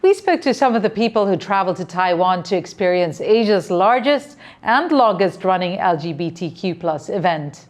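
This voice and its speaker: A woman's voice: lowish voice, animated, normal speed, few pauses. Polished middle-aged woman TV host. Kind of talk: TV interview hosting. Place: TV studio.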